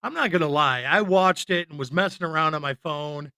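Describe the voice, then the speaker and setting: A man's voice: on the high side, brisk, pushed and bright, clear, resonant tone, no echo, studio quality. A man co-hosting a podcast, talking casually about films in a rambling, informal and opinionated way. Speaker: podcast co-host. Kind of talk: casual film chat.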